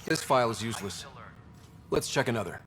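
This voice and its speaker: A man's voice: mid-range voice, lively intonation, fairly quick, fairly bright tone, a little hiss or hum, close to an everyday voice. A man acting as a determined young space explorer in a video game, speaking in a casual, direct way. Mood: focused, casual.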